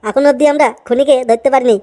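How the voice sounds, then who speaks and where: Guy doing a bossy cartoon police boss, high voice, talks fast, scolds. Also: steady tone, a little mellow. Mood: scolding. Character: stern, sceptical male senior detective in a cartoon. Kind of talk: amateur comedy cartoon dub.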